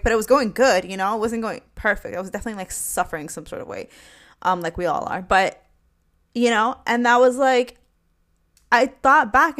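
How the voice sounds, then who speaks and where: A young woman hosting her own podcast in a casual, rambling solo chat, swearing casually and sometimes slipping into Spanish. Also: mid-range voice. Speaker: podcast host.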